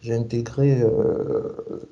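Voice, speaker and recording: A man from Cameroon talking through his career in an interview. He speaks very slowly and hesitantly, pausing on fillers and restarting phrases. His voice is very dark and mellow. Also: mid-range voice, lively intonation, vocal fry, lo-fi sound. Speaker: SAP consultant guest. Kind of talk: interview answers.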